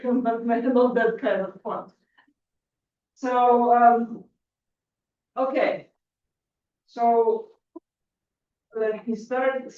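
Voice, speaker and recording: A woman from Bulgaria giving a math conference lecture, halting, with many restarts and fillers. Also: a little high, a little mellow. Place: lecture hall. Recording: echoey hall, distant lo-fi mic.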